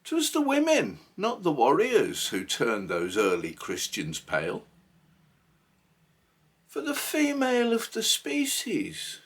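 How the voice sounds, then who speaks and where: A man reciting poetry in a theatrical, emphatic manner, phrasing deliberately, with a husky voice. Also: medium pitch. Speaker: poetry reader.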